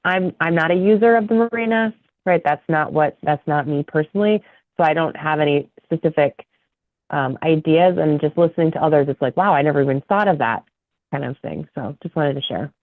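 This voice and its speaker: Commissioner, mid pitch, casual and hesitant; dry, tinny phone-like audio. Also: brisk, no echo. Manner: casual, hesitant, self-correcting. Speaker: board commissioner. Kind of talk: public meeting discussion.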